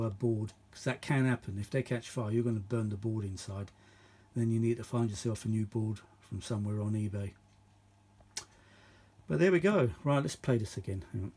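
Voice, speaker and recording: Middle aged guy, bit husky, rambling hands-on chat, thinks out loud n repeats himself. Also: medium pitch. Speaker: vintage audio channel host. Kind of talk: casual unscripted hands-on chat.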